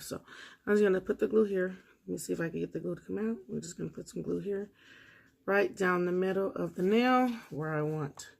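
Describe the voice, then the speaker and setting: A woman whose voice is going hoarse talks her viewers through a nail tutorial in a casual, chatty way. Her voice sits low, with gravel and a husky, breathy edge. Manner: chatty, talks straight to viewers while working. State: losing her voice. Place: home table, indoors.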